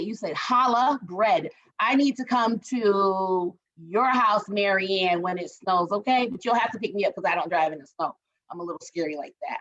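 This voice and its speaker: A woman's voice: mid-range voice, a bit bright, dull audio. A woman from Barbados, leading an online group workshop, chatty and encouraging as she reads the chat aloud. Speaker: workshop facilitator. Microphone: video call.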